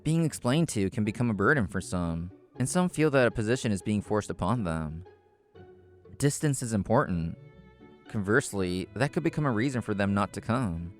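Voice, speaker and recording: A man with a low voice narrates a scripted story. His delivery is measured and explanatory, told as the main character himself. Soft music plays underneath. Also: expressive. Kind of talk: scripted first-person narration.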